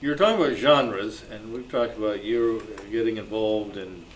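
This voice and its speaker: A man moderating an informal live interview, haltingly rephrasing his questions as he goes. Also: lowish voice. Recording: distant mic, noisy meeting room.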